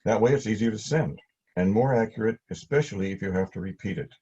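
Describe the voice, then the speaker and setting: A male instructor with a mellow, husky voice reads prepared teaching material in a plain, measured manner. The delivery is instructive and straightforward. The recording is dull and lo-fi. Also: lowish voice. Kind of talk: scripted instructional narration. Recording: dull, lo-fi audio.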